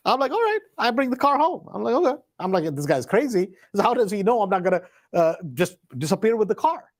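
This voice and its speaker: A man with a high-pitched voice spins a personal anecdote in a casual, conversational style. He leans on emphatic repetition and slang, and acts out the back-and-forth dialogue as he goes. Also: moderate pace. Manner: animated retelling with acted dialogue, emphatic repetition. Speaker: host.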